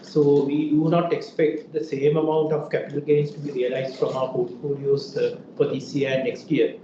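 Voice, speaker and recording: A man giving a hesitant, measured financial answer as a bank treasury head. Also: on the high side, level tone. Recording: muffled, echoey, noisy call audio.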